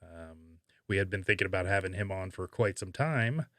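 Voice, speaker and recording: A man hosting a podcast, chatting casually and haltingly as he thinks aloud. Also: deep voice, expressive, a bit bright, no room sound, clean studio sound. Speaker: podcast host. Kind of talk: casual conversational podcast talk.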